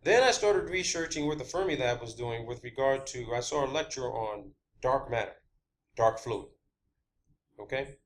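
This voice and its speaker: A man's voice: mid-range voice, normal speed. A man hosting his own video channel, talking off the cuff in an emphatic, explanatory monologue and repeating phrases for stress.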